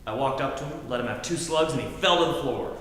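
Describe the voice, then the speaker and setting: A man's voice: mid-range voice, fast talker, fairly bright tone, natural voice. A man playing a hard-boiled private detective in a 1940s-style radio comedy, with tough, melodramatic gangster talk. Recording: echoey hall with faint noise.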